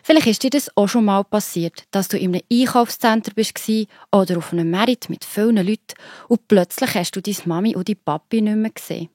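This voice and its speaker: A woman's voice: medium pitch, a little fast, a little mellow, no echo, studio quality. A woman with a Swiss German accent telling a story to children, explaining it simply and expressively. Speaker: narrator. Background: music.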